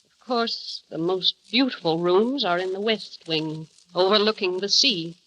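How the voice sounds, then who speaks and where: An actress playing a cold, stiffly formal housekeeper of a grand house in a 1930s radio drama. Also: female voice, medium pitch, measured pace, a bit bright, a little hiss or hum, close to an everyday voice, low-quality recording.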